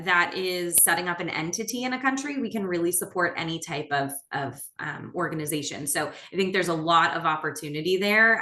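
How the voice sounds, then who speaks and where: A woman's voice: fairly low pitch, pushed and bright. A woman representing a business service, talking casually and professionally on a networking video call. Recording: roomy echo, faint background noise.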